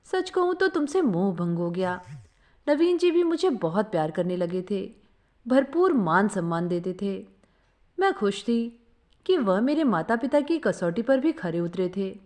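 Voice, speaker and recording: A woman reads a sentimental story in the first person. Her voice sits fairly high and moves up and down a great deal, giving the narration an expressive, storytelling feel. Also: a little slow.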